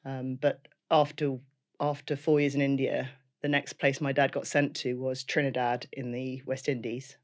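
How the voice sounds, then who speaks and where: A woman's voice: very deep voice, level tone, normal speed. A woman in her fifties with a Liverpool accent, a guest telling her life story in a casual, chatty interview, laughing often and using many fillers. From Liverpool, England.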